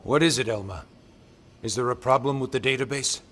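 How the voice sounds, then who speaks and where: A man's voice: mid-range voice, fairly quick, fairly bright tone, a little hiss or hum, lightly acted. A man voicing a stern, authoritative commanding official in a video game, with a formal manner. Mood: urgent. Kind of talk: video game cutscene voice acting.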